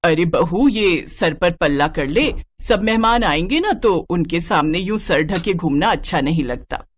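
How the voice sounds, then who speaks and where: A woman's voice: on the low side, animated, a little slow, a little hiss or hum. A woman voicing a strict, nagging middle-aged mother-in-law in a story, with a bossy, scolding manner. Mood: scolding, commanding.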